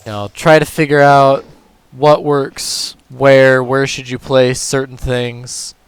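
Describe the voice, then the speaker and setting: Male gaming host, hoarse and tired, unhurried walkthrough commentary, smooth clear tone. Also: medium pitch, no room sound. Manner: relaxed, talks through moves step by step. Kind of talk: casual gameplay commentary.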